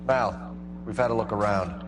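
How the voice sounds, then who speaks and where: An actor playing a serious, methodical police detective in a dramatic video game. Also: male voice, on the low side, moderate pace, music in the background, natural voice. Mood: focused.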